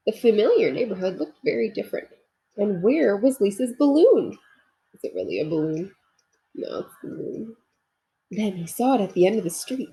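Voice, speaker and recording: Husky, animated woman reading a storybook. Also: mid-range voice, normal speed, poor audio. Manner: expressive, addresses young listeners. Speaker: storybook reader. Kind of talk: children's read-aloud narration with asides.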